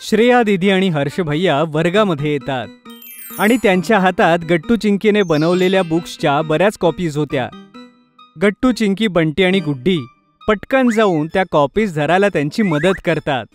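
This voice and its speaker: A woman's voice: lowish voice, normal speed. A woman narrator reading a scripted children's story. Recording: music behind the voice.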